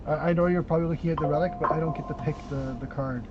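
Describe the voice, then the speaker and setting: A man with a fairly high voice, talking fast at a card game and tossing out banter. The sound is dull and lo-fi, and a loud hiss runs under it. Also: fairly even intonation. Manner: quick, chatty, joking banter. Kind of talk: casual game-table chat. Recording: dull lo-fi audio with loud noise, from off-mic.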